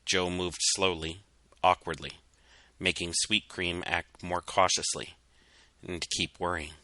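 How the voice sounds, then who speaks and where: A man with a low, very bright and forceful voice narrates a story. His delivery is solemn, measured and theatrical. The sound is close and dry. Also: steady tone, dry recording. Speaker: fan fiction reader. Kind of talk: dramatic scripted story narration.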